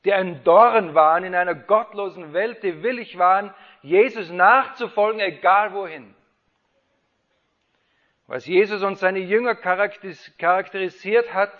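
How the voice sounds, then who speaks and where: A man preaching an Easter sermon, reading scripture and building to fervent rhetorical questions. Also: high-pitched. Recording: dull lo-fi sound.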